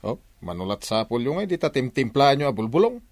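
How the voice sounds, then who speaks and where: A man's voice: mid-range voice, big pitch swings, brisk, a little mellow, no echo, close to an everyday voice. A man with an Ilocano accent playing a brash, lazy village husband in an exaggerated manner for a radio comedy drama. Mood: mocking.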